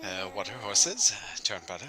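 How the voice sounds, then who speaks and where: A man's voice: fairly low pitch, big pitch swings, moderate pace, background noise. A man playing a pompous, fussy old-time schoolmaster in an audio drama, with stammering, formal, old-fashioned speech. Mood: eager, flustered. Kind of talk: vintage radio-style audio drama acting.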